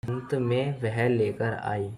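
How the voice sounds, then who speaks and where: A man's voice: mid-range voice, steady tone, slow, vocal fry, darkish tone. A man with an Indian accent, a native Hindi speaker, teaching English in a bilingual lesson, explaining word by word and repeating phrases.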